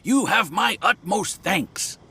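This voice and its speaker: An actor playing a jovial, effusively polite landlord in an anime game, talking in a halting, chuckling way. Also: mid-range voice, animated, pushed and bright, slight background noise. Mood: grateful.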